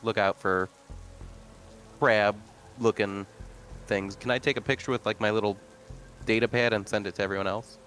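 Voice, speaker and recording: A man's voice: on the low side, fairly even intonation, normal speed, pauses often, fairly bright tone, faint music behind. A man chatting casually as a player in a tabletop game, wry and hesitant as he thinks aloud.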